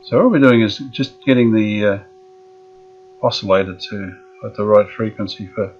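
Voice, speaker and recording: Aussie bloke fiddling with an old radio, low voice, muffled lo-fi sound, lots of uhs. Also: male voice, normal speed, slight background noise. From Australia. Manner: relaxed, thinking aloud with frequent fillers. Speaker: radio restorer. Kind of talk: hands-on workshop narration. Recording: dull lo-fi workshop audio.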